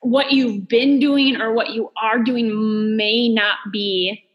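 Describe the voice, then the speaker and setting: A woman's voice: fairly high pitch, steady tone, slow, lo-fi sound. A middle-aged woman hosting a podcast, responding with emphatic enthusiasm and sharing her own experience. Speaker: podcast host. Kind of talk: casual interview chat.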